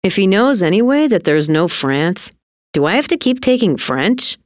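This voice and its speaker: A woman's voice: mid-range voice, sing-song, moderate pace, no room sound, studio quality, voice pushed up, lightly acted. A woman voicing a sardonic, complaining schoolboy in an audiobook story. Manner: complaining, flippant. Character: Doug, sardonic complaining school-age brother.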